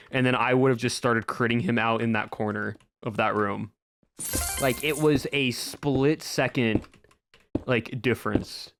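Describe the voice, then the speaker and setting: Man, streamer, flat monotone delivery, rambling chat, home streaming mic. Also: medium pitch, slight background noise. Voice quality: somewhat monotone. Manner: rambling, restarts often. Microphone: home streaming microphone with a filter.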